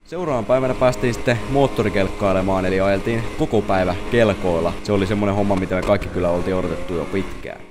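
A man's voice: low voice, hardly any pauses. A young adult man narrating a travel vlog in a casual, conversational way. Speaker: vlogger. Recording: steady background noise, vehicle ambience.

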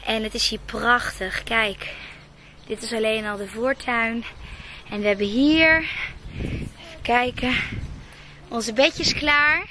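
A woman in her twenties from the Netherlands chatting in a casual vlog, bubbly and excitable, filmed on a handheld camera at arm's length. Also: fairly high pitch, slow, pauses often, a little hiss or hum. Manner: bubbly, excitable, laughs often. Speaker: vlogger. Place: small car, garden outdoors, living room.

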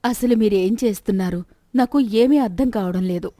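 A woman's voice: mid-range voice, normal speed, warm tone, dry recording, studio quality, lightly acted. A woman voicing a persistent, practical middle-aged wife of a rich merchant in a moral story, chiding and persuading. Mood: chiding, persuasive.

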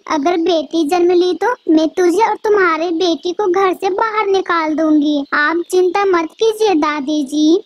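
A woman's voice: very high voice, no room sound. A woman voicing a newborn girl chick in a cartoon, earnestly reassuring her grandmother.

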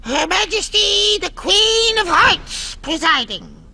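Court herald rabbit for a game: officious, formal, high, drawn-out calls. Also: male voice, sing-song, gravelly, pushed and bright, exaggerated voice. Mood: pompous announcing. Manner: formal, proclaiming. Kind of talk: animated video game acting.

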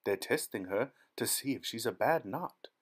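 A man voicing an eccentric factory owner who explains things in a brisk, matter-of-fact way. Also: lowish voice, animated, slightly rough.

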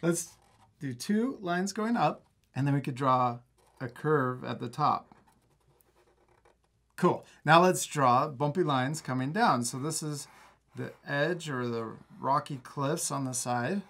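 A man's voice: on the high side, gravelly, fairly bright tone. A man in his thirties teaching a step-by-step drawing lesson for children, upbeat and encouraging. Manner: upbeat, encouraging, explains slowly. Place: art studio.

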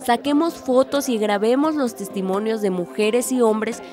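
An actress playing an enthusiastic young activist daughter in a radio soap opera. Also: female voice, on the high side, moderate pace, close to an everyday voice.

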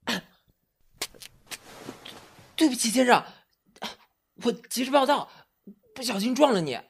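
Someone playing an eager, animated young male newcomer. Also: mid-range voice, measured pace, gravelly, slight background noise. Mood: flustered, apologetic. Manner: apologetic, earnest. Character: eager, polite, starstruck young newcomer man. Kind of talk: Chinese audio drama acting.

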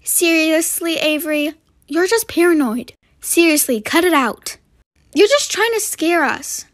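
A woman's voice: very high-pitched, animated, unhurried, dry recording, studio quality. A woman voicing a bossy, easily annoyed young camper girl in an amateur doll roleplay, snappy and scolding.

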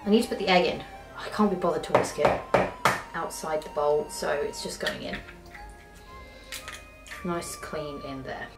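A woman's voice: deep voice, normal speed, pauses often. A young British woman chatting casually through a home cooking vlog in her kitchen, rambling and poking fun at her own mistakes. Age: young adult. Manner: self-mocking, rambling, chatty. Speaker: cooking vlogger. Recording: echoey kitchen with faint music.

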